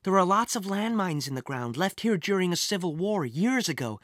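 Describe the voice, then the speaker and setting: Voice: mid-range voice, sing-song, normal speed, a bit bright, dry recording, studio quality. A storyteller voicing an earnest, anxious young girl in an adventure audiobook, sincere and a little hesitant. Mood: cautioning.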